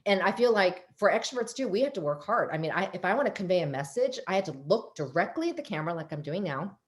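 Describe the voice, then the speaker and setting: A woman psychologist speaking animatedly on a panel over a video call, with a loud, emphatic voice. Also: mid-range voice, fast. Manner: animated, emphatic, self-correcting.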